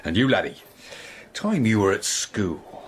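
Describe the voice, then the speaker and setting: A man's voice: lowish voice, sing-song, measured pace, slight background noise. An actor playing a pompous, blustering conman father in a radio drama, talking in a grandiose, patronising way.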